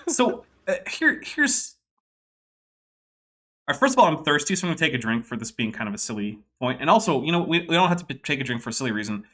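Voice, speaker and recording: A man from the Boston area hosts a casual podcast. His voice is bright and clear, and his talk runs in quick, explanatory bursts. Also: mid-range voice, sing-song. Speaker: podcast host. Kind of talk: casual commentary.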